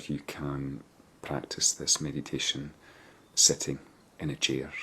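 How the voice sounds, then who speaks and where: A man with an extremely low, slightly husky voice guides a meditation. He speaks in a gentle, measured way, leaving pauses between short phrases. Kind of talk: guided body scan meditation.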